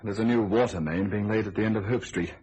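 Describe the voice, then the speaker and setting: An actor playing a cold, controlling lover in a radio thriller, clipped, commanding and sardonic. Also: male voice, fairly low pitch, quick pace, darkish tone, husky. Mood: dry, sardonic. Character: cold, controlling male lover covering up a killing. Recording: noisy old radio drama recording.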